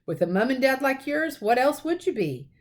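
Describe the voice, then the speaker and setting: A woman's voice: sits high, very animated, normal speed, pitched above the performer's own voice. A woman voicing a big, rustic giant man, kindly but easily outraged, in thundering, folksy exclamations for a storybook. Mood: proud.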